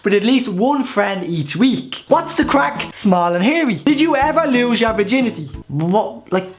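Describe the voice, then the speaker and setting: A man's voice: sits high, animated, measured pace. A young man in his twenties with a strong Dublin accent, reading out and answering viewer questions in a casual, blunt, cheeky vlog. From Ireland. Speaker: YouTuber.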